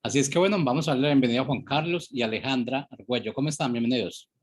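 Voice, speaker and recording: A man's voice: medium pitch, rapid-fire, slightly rough. A man hosting a radio segment in a conversational presenting style. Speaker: radio host.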